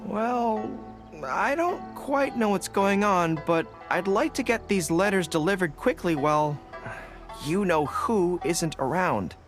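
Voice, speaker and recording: A man's voice: high-pitched, expressive, slow pace. A man playing a shy, dutiful young army general in a video game, speaking in an earnest, boyish, sometimes hesitant way. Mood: earnest, pleased. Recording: game music behind the voice.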